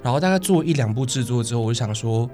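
Young male guest, Taiwanese Mandarin accent, medium-pitched voice, casual chat, music in the background. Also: lively intonation, quick pace, darkish tone. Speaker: guest, stage singer and actor. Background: music under the talk.

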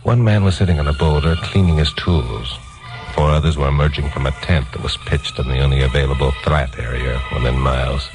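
An actor playing a brash, wisecracking American crime reporter in a breezy 1950s radio drama style. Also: male voice, extremely low pitch, fairly even intonation, fairly quick, music in the background. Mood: grim, storytelling. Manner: breezy, hard-boiled.

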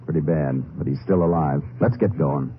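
An actor playing a steady, businesslike Texas Ranger in a radio western, speaking in a terse, matter-of-fact way. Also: male voice, very deep voice, moderate pace. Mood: grave, urgent. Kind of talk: old-time radio western acting with narration. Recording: muffled, hissy old radio broadcast.

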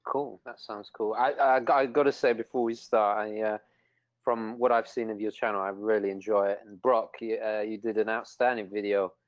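A man from London whose first language was Italian, speaking with an English accent and a trace of Italian as a guest in a casual interview, halting with lots of restarts. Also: on the low side, steady tone, no room sound, lo-fi sound. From England. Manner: halting, many restarts and fillers.

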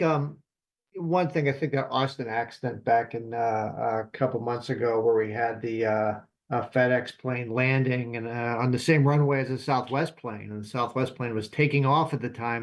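A man gives expert commentary in an interview. His voice sits at a middle pitch, and his delivery is conversational and halting, with informal asides as he explains. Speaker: expert guest, aviation professor.

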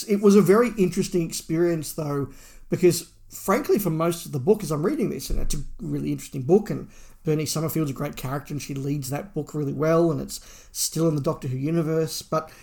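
A man's voice: on the high side, vocal fry, dark tone. A man co-hosting a podcast in a casual fan discussion, rambling and thinking aloud. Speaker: podcast co-host.

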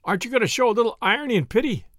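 A man's voice: very high-pitched, animated, fairly bright tone, no echo, studio quality, pitched above the performer's own voice. A man voicing a teasing, exuberant male friend in an audiobook, needling in a mock-grandiose way. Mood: playful, teasing. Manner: mock-grandiose, needling, rapid-fire exclamations. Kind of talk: audiobook character dialogue.

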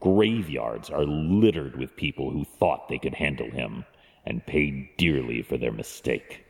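A man playing a stern, brooding masked vigilante in an audio drama, speaking gravely in clipped, commanding phrases with a gravelly voice. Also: a bass voice, animated, a little slow, a little mellow, full character voice. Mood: grave, concerned. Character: brooding, stern adult male masked vigilante and wealthy guardian. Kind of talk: fan audio drama acting. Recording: long hall-like reverb.

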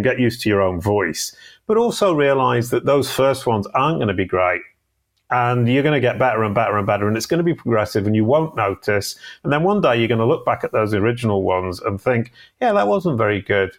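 A man speaking as a guest in a casual interview, self-deprecating and chatty, often restarting his sentences. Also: medium pitch. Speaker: guest on a live video show.

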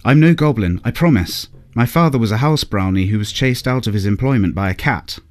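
A man's voice: mid-range voice, a little fast, pitched above the performer's own voice, close to an everyday voice. A man voicing a little old male peddler who pleads and wheedles, stumbling over his words. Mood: wheedling.

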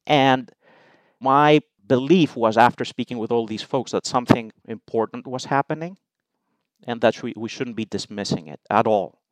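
A middle-aged man from Greece, a guest in an interview, explaining his views in measured, numbered points. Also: mid-range voice, no room sound, low-quality recording. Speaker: investment allocator, guest. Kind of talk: interview answers.

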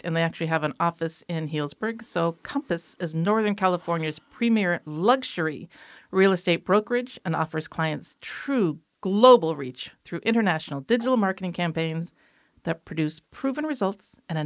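Chatty woman co-host, enthusiastic, low-pitched, dry close mic. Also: animated, moderate pace, dry recording. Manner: upbeat and chatty, reads sponsor copy. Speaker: co-host, wine association director. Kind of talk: casual podcast chat.

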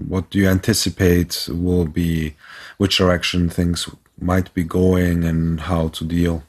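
A man hosting a podcast, introducing his guest in a measured, formal way. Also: low voice, flat delivery, darkish tone, breathy edge. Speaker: podcast host. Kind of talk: podcast interview.

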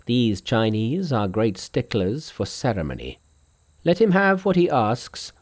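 A man's voice: mid-range voice, sing-song, unhurried, dry recording. Man acting a haughty, cowardly king. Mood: dismissive.

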